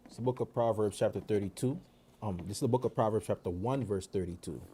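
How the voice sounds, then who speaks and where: A man reading a scripture passage aloud at a steady, formal pace. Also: on the low side, fast, slight background noise. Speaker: scripture reader.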